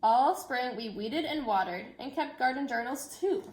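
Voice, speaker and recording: Woman, elementary teacher type, higher register, story read-aloud with informal asides to children, roomy echoing sound. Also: lively intonation, moderate pace. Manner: addresses children directly and informally. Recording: echoey room.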